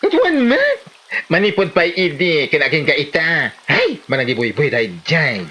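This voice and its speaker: A man's voice: on the high side, wildly swooping pitch, moderate pace, bright, forceful tone, slightly rough, full character voice. An actor playing a talkative, disapproving Filipino village man in a comedy drama. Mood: disapproving gossip. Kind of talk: Ilocano comedy drama acting.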